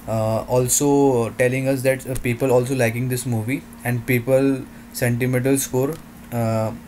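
A man narrating a software demo in halting, non-native English phrasing. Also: medium pitch, little pitch movement, slight background noise.

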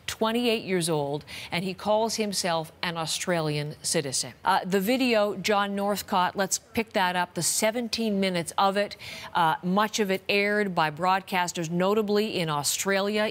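A woman in her fifties anchors live news in a measured, serious tone. Her voice sits on the lower side for a woman, and she speaks clipped into a studio lapel mic. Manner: measured, grave delivery.

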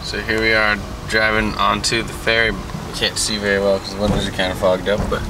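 A man's voice: fairly low pitch, completely flat delivery, a little fast, distant mic. A young man in his twenties casually narrating a road trip from inside a car, joking like a tour guide. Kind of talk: casual travel commentary. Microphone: handheld camera at arm's length. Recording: loud car noise, slightly off-mic.

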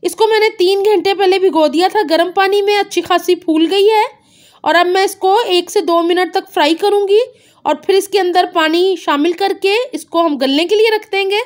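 A woman narrating a home cooking recipe step by step, calmly naming each ingredient and measure. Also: very high voice, normal speed. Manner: calm instructive, naming measures. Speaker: cooking channel host.

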